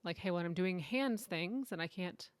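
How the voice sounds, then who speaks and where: A woman's voice: lowish voice, lively intonation, moderate pace, dry recording, studio quality. A woman co-hosting a podcast in a casual, conversational and giggly way. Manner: informal and conversational, often giggly. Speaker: podcast co-host. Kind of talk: casual chat.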